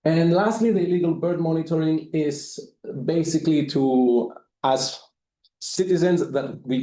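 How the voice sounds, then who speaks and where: A man giving a presentation as a volunteer, speaking haltingly with frequent fillers. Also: mid-range voice, dark tone, roomy sound, rough recording. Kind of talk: presentation talk.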